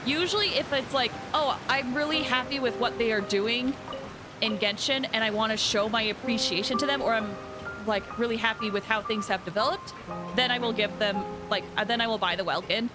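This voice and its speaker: A woman's voice: on the high side, brisk, rough recording. A woman game streamer chatting casually to her live viewers. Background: game music.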